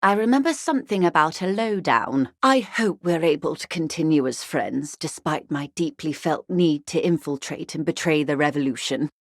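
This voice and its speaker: A woman playing a wry, urbane, bourgeois negotiator in a video game, speaking in a measured, genteel, faintly ironic way. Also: low-pitched, expressive, no room sound, clean studio sound. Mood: wry, amused. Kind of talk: video game character dialogue.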